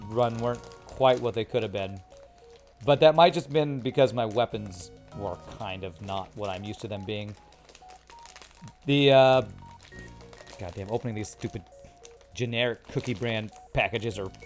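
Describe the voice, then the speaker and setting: A male streamer with a calm, soothing voice that has gone a little tired after a long session. He chats easily over the game, reading comments aloud, with faint game music playing softly behind him. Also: mid-range voice. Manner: chatty, reads chat aloud, laughs often. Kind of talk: casual live-stream commentary.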